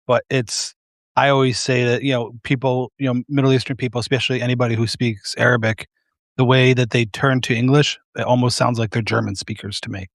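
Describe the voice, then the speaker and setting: A man's voice: medium pitch, little pitch movement, brisk, no room sound, clean studio sound. Rambling man hosting a live chat. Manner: rambling, self-deprecating, many fillers. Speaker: host. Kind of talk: casual live interview.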